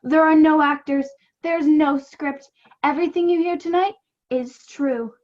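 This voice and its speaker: A woman's voice: sits high, fairly even intonation, a little slow. A young woman playing a self-important, exasperated radio play director in a scripted comedy, with a pompous announcing manner. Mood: grandly announcing. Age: young adult. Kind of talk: scripted comedy stage acting. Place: room at home. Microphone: video call. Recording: dull, lo-fi video call audio.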